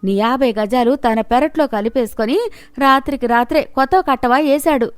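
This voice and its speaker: A woman's voice: sits high, moderate pace, clear, resonant tone, no echo, faint music behind, natural voice. A woman voicing a resigned, gossipy village neighbour in a storybook tale, homely and emphatic.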